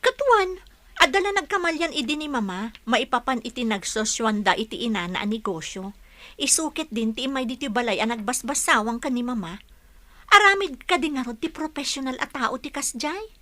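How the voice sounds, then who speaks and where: Bright, high-pitched young woman, expressive. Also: moderate pace. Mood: exasperated, teasing. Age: young adult. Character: young single woman, hospital worker, still heartbroken, frank. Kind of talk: Ilocano audio drama acting.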